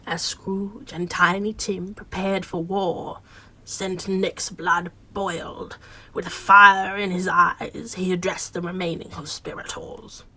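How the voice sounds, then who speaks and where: A woman's voice: lowish voice, little pitch movement, slow, pushed and bright, slightly rough, exaggerated voice, low-quality recording. A woman narrating a radio play as dramatic scripted storytelling.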